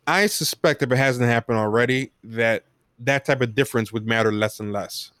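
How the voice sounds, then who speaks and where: Clear-voiced American man thinking aloud. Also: mid-range voice, moderate pace, fairly bright tone, no room sound, clean studio sound. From United States. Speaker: podcast guest. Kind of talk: casual reflective interview talk.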